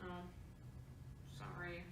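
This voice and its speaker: A woman's voice: on the low side, flat delivery, extremely slow, creaky, fairly bright tone, heavy reverb, far from the mic, slight background noise, full character voice. An actress reading a resentful, critical mother in a play reading over a video call. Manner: bitter, complaining.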